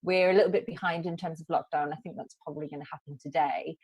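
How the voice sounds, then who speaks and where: A woman's voice: low voice, fairly even intonation, fast, darkish tone, breathy edge, dry recording. A woman from the northeast of England, co-hosting a webinar in a friendly, encouraging way. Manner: friendly, encouraging, laughs easily. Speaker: co-host of webinar. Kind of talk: casual webinar presenting.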